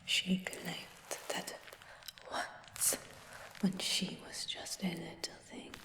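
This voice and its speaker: A woman whispering softly in a fantasy roleplay, speaking gently to the listener. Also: lowish voice, little pitch movement, extremely slow, creaky. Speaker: ASMR roleplay creator. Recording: roomy whisper with long reverb.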